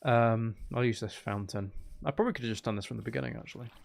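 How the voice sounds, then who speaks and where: A man with a middling pitch and a noticeably creaky, fry-edged voice. He talks casually as a live game streamer, tossing playful, teasing banter to his chat. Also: quick pace, noisy background. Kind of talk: casual gaming livestream chat.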